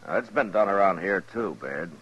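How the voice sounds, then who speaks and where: An American actor playing a calm, firm, authoritative frontier marshal in an old radio western. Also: male voice, deep voice, normal speed, husky, exaggerated voice. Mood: firm, stern. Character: steady, authoritative frontier town marshal, grown man. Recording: muffled old radio drama recording.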